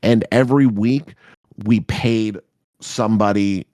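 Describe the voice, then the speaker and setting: A man in his thirties co-hosting a podcast, rambling loudly and swearing through casual banter. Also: lowish voice, fairly even intonation, unhurried, no room sound. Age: 30s. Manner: loud, rambling, swearing, jokey. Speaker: podcast co-host.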